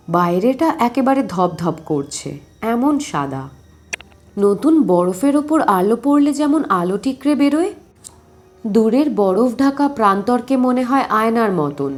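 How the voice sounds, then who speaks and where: Woman, story narrator, highish voice, animated intonation, expressive scripted reading, faint music behind.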